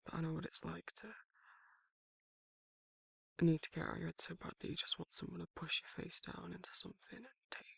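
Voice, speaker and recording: Man acting a male werewolf boyfriend, very breathy and husky, heavy fry, tender, teasing, intimate ASMR roleplay. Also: a little high, monotone, brisk, pauses often, a bit bright, no echo, exaggerated voice. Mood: tender, coaxing.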